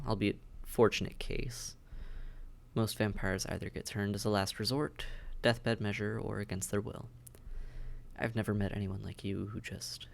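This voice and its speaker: Guy playing a friendly vampire mentor in an audio roleplay, lowish creaky voice, wry and matter of fact. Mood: curious. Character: friendly, dry-witted male vampire caseworker and mentor. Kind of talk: conversational audio roleplay, one side of a dialogue.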